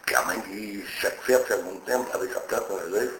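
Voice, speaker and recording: An older man from Brittany, recalling his past in a halting, rambling way, repeating words as he searches for them. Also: fairly low pitch, fairly bright tone, reverberant, slight background noise, poor audio. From Brittany, France. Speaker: interviewee. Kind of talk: oral history interview.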